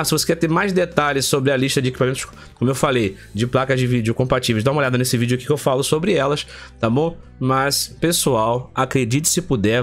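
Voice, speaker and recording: A man hosts a casual tech vlog, congested with a heavy cold, speaking emphatically and colloquially and repeating phrases for effect. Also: a little high. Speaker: tech YouTube channel host.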